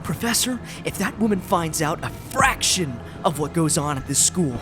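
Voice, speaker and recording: Man acting an anxious, high-voiced teenage schoolboy. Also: animated, moderate pace, music in the background, close to an everyday voice. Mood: alarmed. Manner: hesitant, nervy, exasperated. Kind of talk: animated fandub drama acting.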